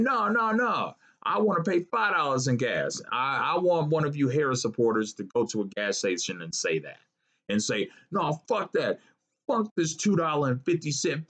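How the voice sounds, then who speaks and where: A man, ranting casually to his viewers in a blunt, profane way with rambling asides. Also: a little high. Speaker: vlogger. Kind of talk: casual political rant to camera.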